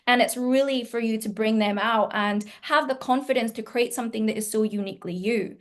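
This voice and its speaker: A woman's voice: a little high, brisk. A woman in her thirties hosting an interview on a video call, talking in an effusive, emphatic, conversational way. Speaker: podcast host, healing teacher. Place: room at home, indoors, video call.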